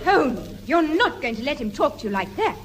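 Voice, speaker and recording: High, animated female companion. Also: fairly quick. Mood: anxious. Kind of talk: 1950s British radio comedy acting. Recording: very noisy vintage radio recording.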